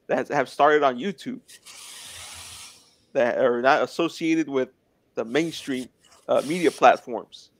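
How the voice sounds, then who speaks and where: A man's voice: mid-range voice, dry recording. A man in his twenties, a guest in a casual interview, talking in an earnest, plainspoken way. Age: 20s.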